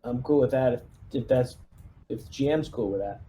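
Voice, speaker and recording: A man's voice: medium pitch, moderate pace, warm tone. A man playing a squad leader in a casual online tabletop game, giving directions in an easygoing, slightly hesitant way. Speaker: player acting as squad leader. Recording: clear background noise, room ambience.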